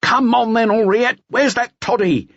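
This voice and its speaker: A man voicing a brisk, bossy but accommodating French hotelkeeper who calls out orders in an audiobook. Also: very high voice, a bit bright, husky, dry recording, highs cut off, voice pushed up, full character voice. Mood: shouting, bossy. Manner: calling out, giving orders and advice. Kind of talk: audiobook character voice.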